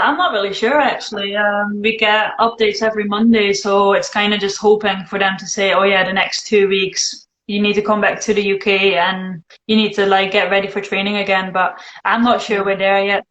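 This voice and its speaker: A Dutch woman with a Scottish-tinged English accent, hosting a live video chat in a relaxed, dry, teasing way. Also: mid-range voice, fairly quick, hardly any pauses. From Netherlands. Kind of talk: casual chat. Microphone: phone. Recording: echoey room, muffled phone audio.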